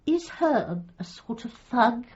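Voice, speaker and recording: A timid, soft-hearted dog owner, speaks high and animated, in a hesitant, flustered, pleading manner. It is gently comic radio drama, with a slightly muffled sound. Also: female voice, slow pace, husky, exaggerated voice. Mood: anxious, meek. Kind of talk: radio comedy drama acting.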